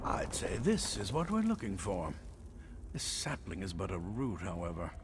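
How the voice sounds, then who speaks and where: An actor playing a nervous, scholarly alchemist in a video game, explaining things in an articulate, earnest and theatrical way. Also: male voice, deep voice, huge pitch swings, normal speed, background noise, full character voice. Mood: fascinated, grave. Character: nervous, scholarly male alchemist obsessed with enemy magic.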